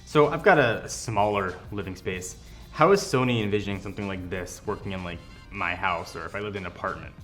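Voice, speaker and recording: A man's voice: deep voice, a little fast, a bit bright. A man interviewing someone casually, with a wry, joking manner. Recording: music playing behind the voice.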